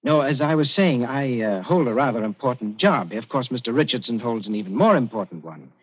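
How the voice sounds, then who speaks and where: An actor playing a shrewd, composed young colonial official in a 1940s radio drama, speaking in a measured, dryly ironic way. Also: male voice, medium pitch, animated, breathy edge. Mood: dryly amused. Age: young adult. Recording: dull, muffled 1940s radio recording.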